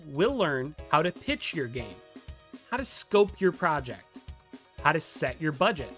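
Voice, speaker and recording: A man's voice: on the high side, sharp tone. A man narrating a scripted explainer as a tutorial host, with playful, emphatic asides. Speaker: host of a game development tutorial. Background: background music.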